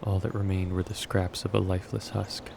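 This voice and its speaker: A man playing a brooding, obsessive doctor in a horror manga dub, speaking in a grave, detached, gravelly way. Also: deep voice, little pitch movement, a little fast, background noise. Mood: somber. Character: brooding, obsessive male doctor, coldly rationalizing human experiments.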